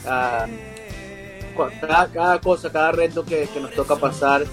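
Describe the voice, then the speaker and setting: Young Venezuelan male singer, remote guest, hesitant and filler-heavy, soft music under him. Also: fairly high pitch, fairly even intonation, moderate pace. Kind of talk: interview over a video call. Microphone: video call.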